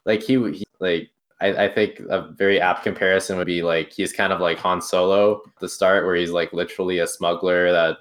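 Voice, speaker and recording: A young man co-hosting a podcast, talking casually about a film in halting phrases full of likes and restarts. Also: low voice, level tone, fairly bright tone. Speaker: podcast co-host.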